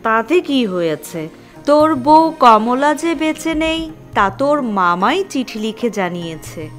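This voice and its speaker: A woman's voice: on the high side, very animated, slow pace, faint music behind. A woman acting a firm, practical, chiding mother arranging her son's marriage in an audio drama. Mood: calm, chiding. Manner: chiding, matter-of-fact.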